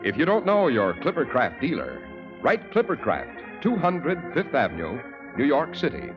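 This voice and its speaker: A man delivering a formal 1940s radio announcement, his pitch rising and falling with lively emphasis. The old broadcast sounds dull and muffled, with organ music playing clearly behind him. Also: moderate pace.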